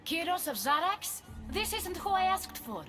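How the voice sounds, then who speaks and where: A woman's voice: very high-pitched, sing-song, normal speed, music in the background. A woman playing an imperious, proud goddess queen in a video game, haughty and commanding with a cold, wounded edge. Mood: betrayed anger. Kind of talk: dramatic video game cutscene acting.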